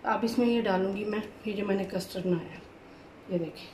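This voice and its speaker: A woman's voice: medium pitch, brisk, warm tone, a little hiss or hum. Chatty woman demonstrating a recipe at home. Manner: chatty, keeps inviting viewers to look. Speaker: cooking channel host. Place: home kitchen, indoors. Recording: home kitchen with utensil clatter. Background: clattering dishes and utensils.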